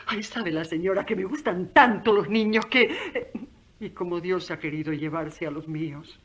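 A woman's voice: fairly low pitch, lively intonation, moderate pace, slight background noise, lightly acted. A woman playing a chatty, devout and playful midwife servant in a radio drama, effusive, folksy and teasing. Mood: warm, chatty.

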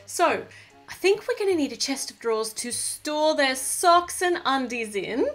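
Woman, high voice, chatty, thinks aloud, gushes, close mic, faint background music. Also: animated, unhurried, fairly bright tone. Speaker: toy building vlogger. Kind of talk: casual build commentary.

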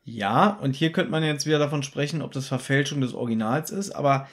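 A man's voice: mid-range voice, a little fast. A man co-hosting a podcast, retelling a story in great detail in casual chat. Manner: detailed, animated storyteller who acts out quotes. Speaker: podcast co-host.

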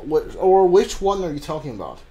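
A man streaming a video game with casual, halting, exasperated commentary. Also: on the high side, sing-song, mellow, rough recording. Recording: clear background noise.

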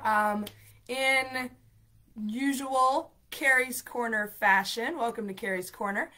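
A woman hosts a livestream from her home room through a webcam. Her slightly high voice is raspy from a cold, and she talks in an animated, chatty, playful way, telling stories to her viewers. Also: takes it slowly. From United States. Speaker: ESL program coordinator and show host. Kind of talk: livestream talk and storytelling. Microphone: laptop webcam, video call framing.

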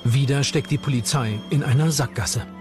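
A man's voice: medium pitch, moderate pace. A man reading scripted true-crime documentary narration. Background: background music.

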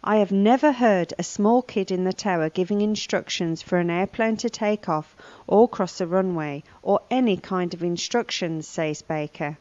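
A woman reads scripted lesson material as a presenter. Her voice sits a little low for a woman. The sound is dry, with no room on it, and slightly dull. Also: moderate pace, hardly any pauses, a little mellow, dry recording. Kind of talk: scripted lesson narration, reading a news report.

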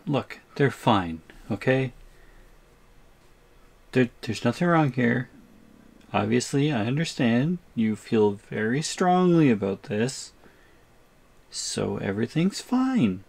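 A man's voice: mid-range voice, animated, slow pace, creaky, long pauses, darkish tone, breathy edge, full character voice. Man playing an exasperated, placating captive. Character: captive man, exasperated but placating protective big brother. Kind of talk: audio roleplay drama.

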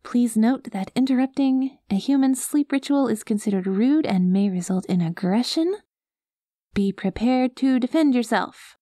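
A woman playing a cute, earnest, easily flustered young alien girl in a soft-spoken ASMR roleplay. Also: on the high side, animated, normal speed, dry recording, clean studio sound, natural voice. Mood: careful reading aloud. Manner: earnest, flustered, talks to herself. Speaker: audio roleplay performer.

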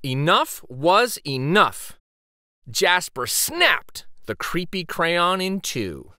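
A man reading a children's picture book aloud as dramatic, suspenseful narration, with theatrical pauses and emphasis. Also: high-pitched, sing-song, pushed and bright, clear, resonant tone, dry recording, studio quality.

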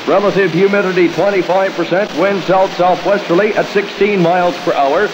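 An American man reads radio news and weather in a formal announcer's delivery. His voice sits fairly high for a man, and the old AM broadcast recording carries clear noise throughout. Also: fairly even intonation, moderate pace. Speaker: radio newscaster. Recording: noisy 1960s AM radio aircheck.

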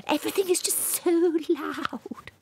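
High-voiced, fretful little cartoon mole. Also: female voice, a little slow, gravelly, a little hiss or hum. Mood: fretful, pleading. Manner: hushing, often drops into a whisper. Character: gentle, fretful female mole bothered by noise. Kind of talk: children's cartoon acting. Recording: light music behind the voice.

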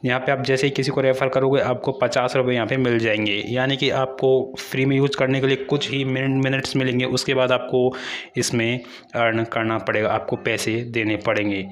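Man, Indian accent, medium pitch, tech channel host, instructional voice-over, addresses viewers as friends. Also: level tone, fairly quick, a little hiss or hum. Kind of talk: step-by-step app tutorial voice-over.

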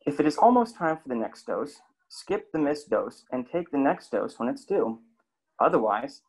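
A man narrates a clinic's health lesson in a scripted, explanatory way, often turning to the listener with questions. His voice is gravelly and a little husky. His pitch moves up and down a lot. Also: low-quality recording.

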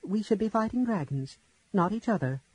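Man voicing a shrill, stammering small folk hero, high and quick. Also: animated, rough recording. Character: small curious kender, shrill and nervy.

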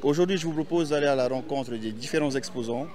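A man's voice: mid-range voice, fast talker. A man in his twenties working as a student reporter, interviewing informally on location. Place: busy book fair, outdoors and in booths. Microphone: handheld mic.

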